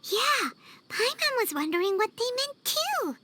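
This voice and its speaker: A tiny childlike fairy companion from a video game, squeaky and extremely high. She is excitable and suspicious, swinging up and down in pitch at an unhurried pace. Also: noisy background, full character voice. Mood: reluctant, musing. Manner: exclaiming, refers to herself by name. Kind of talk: animated video game voice acting.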